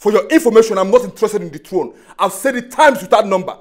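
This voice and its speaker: An actor playing an arrogant, hot-headed young prince who shouts defiant threats. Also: male voice, high-pitched, animated, fast.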